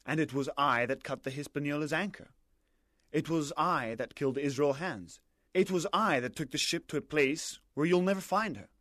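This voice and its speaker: A man's voice: a little high, moderate pace, a bit bright, no echo, studio quality, pitched above the performer's own voice, lightly acted. A man voicing a brave, defiant boy with an earnest, declarative manner in a pirate adventure audiobook. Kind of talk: dramatized audiobook character voice.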